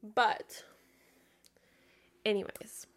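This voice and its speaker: A young woman talks straight into a podcast mic in loose, rambling solo chat. Her voice is stuffy and nasal from a recent illness. She leans on "like" over and over as she goes. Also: very slow. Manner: chatty, fills with "like". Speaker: podcast host.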